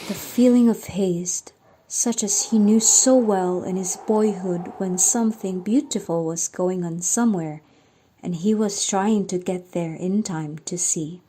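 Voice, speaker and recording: A woman's voice: medium pitch, mellow, slight background noise, low-quality recording. A woman narrating and announcing a scripted radio drama in a dramatic storytelling style. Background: faint music.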